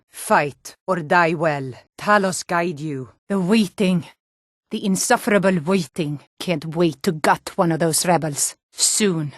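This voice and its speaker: A woman's voice: fairly low pitch, no room sound, clean studio sound. An actress voicing a tough, proud Nord woman soldier and guard in a video game, blunt and commanding in manner. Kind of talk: video game character voice lines.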